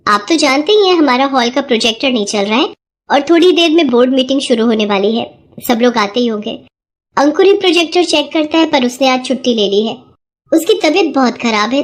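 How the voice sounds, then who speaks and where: Woman doing a firm school principal, high. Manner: formal, authoritative.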